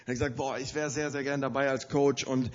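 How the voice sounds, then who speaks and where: A man's voice: mid-range voice, level tone, fast talker, dull audio. A man with a cold, energetically addressing a conference audience and giving them instructions. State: has a cold, slightly strained voice. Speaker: conference host for youth leaders. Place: large conference hall with audience.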